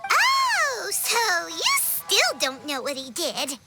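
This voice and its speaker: A woman voicing a tiny, childlike fairy companion in a video game, squeaky and excitable, with exaggerated delivery. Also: takes it slowly, heavily acted. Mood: friendly, curious. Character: tiny chirpy childlike fairy companion, talks in third person. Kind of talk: video game cutscene acting. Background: music.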